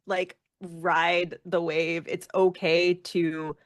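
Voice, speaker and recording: A woman's voice: lowish voice, flat delivery, very slow, no room sound, studio quality. A woman giving casual, reflective interview answers as a guest, candid and thinking aloud with frequent self-corrections.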